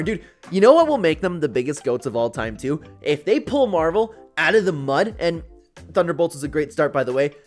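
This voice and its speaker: A young man giving casual, chatty YouTube-style gaming commentary, talking informally to his viewers. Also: on the high side. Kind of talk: casual commentary reacting to read-out viewer posts.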